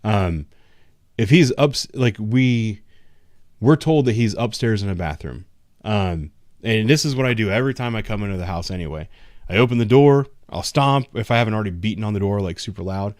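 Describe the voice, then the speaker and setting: A man with a low voice talks loosely and frankly, a patrol officer chatting on a casual livestream. He hedges with ums and restarts his sentences. The sound is dry and close. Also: expressive, brisk, a little mellow, dry recording. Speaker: patrol officer, show host.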